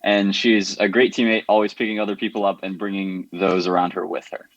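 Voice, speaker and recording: Young guy w american accent, low-ish voice, dry dull lo-fi audio. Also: little pitch movement, brisk, dry recording. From Jamaica, raised in the United States. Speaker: Olympic swimmer guest. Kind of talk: interview answers.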